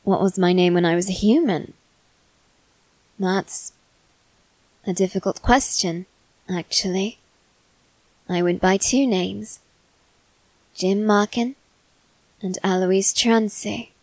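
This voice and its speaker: A woman voicing a polite, coaxing young male demon in an ASMR roleplay aimed at the listener, speaking softly and courteously. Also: medium pitch, dry recording, poor audio. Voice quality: soft, measured and courteous.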